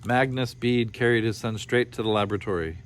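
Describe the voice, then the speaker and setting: A man reading a storybook aloud with expressive narration. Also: mid-range voice, brisk, a little hiss or hum. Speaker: storybook reader.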